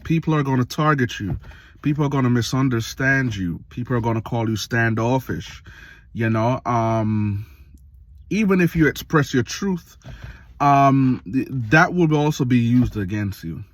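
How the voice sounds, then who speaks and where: A man in his late thirties, from Jamaica, giving a reflective casual monologue for his video channel, slow and full of pauses and fillers. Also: medium pitch, animated. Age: 30s. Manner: slow, pausing often with fillers. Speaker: vlogger.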